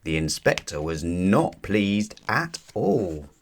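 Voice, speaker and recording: A man narrating a children's storybook aloud in an expressive, deliberate storytelling manner. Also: low voice, gravelly.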